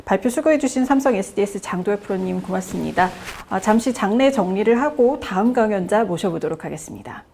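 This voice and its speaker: Female seminar moderator, mid pitch, courteous, smooth announcer delivery. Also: expressive, fast, hardly any pauses, dark tone. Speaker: event moderator.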